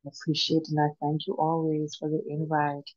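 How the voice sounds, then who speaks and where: A woman's voice: low-pitched, monotone, fairly quick, a little mellow, no room sound, lo-fi sound. A woman from Jamaica with a Jamaican accent, speaking steadily as a meeting attendee. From Kingston, Jamaica.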